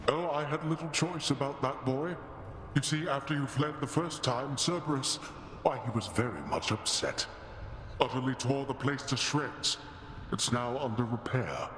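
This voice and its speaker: An actor playing a stern, bitter god of the underworld who scolds in a haughty, formal, cutting manner. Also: male voice, mid-range voice, normal speed, fairly bright tone, echoey room, long reverb tail, a little hiss or hum, lightly acted. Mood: scornful.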